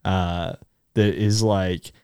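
A man's voice: low voice, fairly even intonation, drawn-out words, darkish tone, clean tone, dry recording, studio quality. A man co-hosting a casual book podcast who rambles in a self-deprecating way and laughs as he talks. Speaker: podcast co-host.

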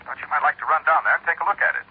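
An actor playing a worried American insurance executive, brisk and earnest in a 1950s radio drama. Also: male voice, fairly high pitch, slightly rough. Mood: concerned, businesslike. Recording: tinny old radio recording, faint noise.

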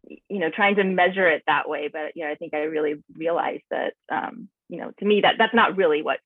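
A woman answers an interviewer, reflective and unhurried as she searches for the right words. Her voice is breathy and a little husky, and it comes through a thin, phone-like line with no room sound at all. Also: deep voice, no echo. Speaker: naturalist and author, interview guest.

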